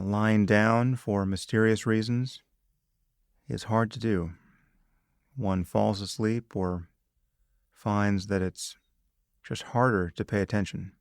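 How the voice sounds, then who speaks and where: A man leading a guided meditation, giving calm, measured instructions with long pauses. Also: lowish voice, clear, resonant tone, dry recording, clean studio sound.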